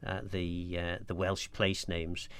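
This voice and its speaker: A man presents a radio programme from the studio in loose, casual chat. His low, gravelly voice stumbles through fillers and restarts, and he takes his time to find the words. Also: monotone, takes it slowly, a bit bright, dry recording. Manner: hesitant, many fillers and restarts.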